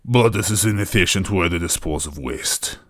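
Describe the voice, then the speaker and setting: A man's voice: low voice, a bit bright, no echo, clean studio sound. A man voicing an enormous metal smith god in a story reading, stony, imperious and menacing. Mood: stony, disdainful. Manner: stony, slow-burning, imperious.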